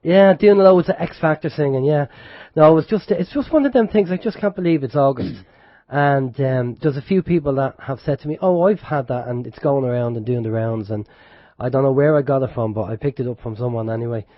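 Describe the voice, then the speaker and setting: A man's voice: medium pitch, brisk, no room sound. Man with a cold, dull lo-fi radio. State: has a head cold and sore throat. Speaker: internet radio host. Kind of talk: casual radio chat. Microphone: close studio microphone. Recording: dull lo-fi internet radio stream.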